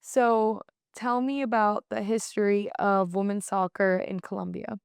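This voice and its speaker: A woman's voice: mid-range voice, flat delivery, unhurried, vocal fry, clean tone, no echo, clean studio sound. A woman hosting a podcast, asking questions in an upbeat, encouraging way. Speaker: podcast host. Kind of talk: interview questions.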